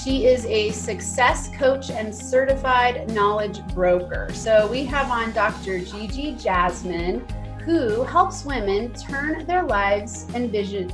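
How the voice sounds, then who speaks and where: A woman hosting an interview podcast, talking in a chatty, emphatic way. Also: on the high side. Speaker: podcast host and coach.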